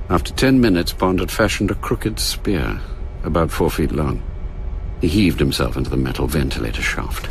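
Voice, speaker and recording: An actor playing a grave storyteller, narrating a spy thriller radio drama in a measured, suspenseful manner. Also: male voice, a bass voice, gravelly, a little hiss or hum, exaggerated voice.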